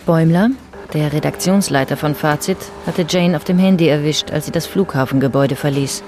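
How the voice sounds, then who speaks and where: A woman's voice: sits low, fairly quick, a little mellow. Female narrator over faint noise. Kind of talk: scripted radio drama narration.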